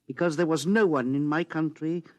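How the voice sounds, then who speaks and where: An actor playing a foreign engineer who speaks in a measured, formal, quietly accusing way, in a 1950s radio drama. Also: male voice, a little high, no echo, clean studio sound, natural voice. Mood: grave. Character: bitter foreign male engineer, calm avenger.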